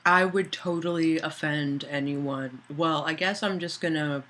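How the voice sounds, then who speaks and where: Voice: mid-range voice, unhurried, fairly bright tone. An author livestreaming to patrons, chatting loosely and answering chat messages aloud, with many fillers and restarts.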